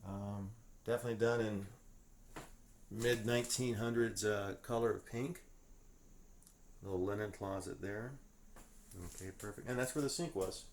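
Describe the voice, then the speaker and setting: A man giving a casual, off-the-cuff walk-through as an explorer vlogger, pointing things out to viewers with open amazement. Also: lowish voice. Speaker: urban explorer vlogger, host. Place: inside empty rooms of an abandoned house. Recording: roomy echo, light background noise.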